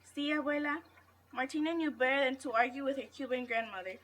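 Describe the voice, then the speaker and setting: A woman's voice: high-pitched, fast, fairly bright tone. A woman reading a folktale aloud as a storybook, with faint noise in the background. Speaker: reader at a read-aloud event. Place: school library.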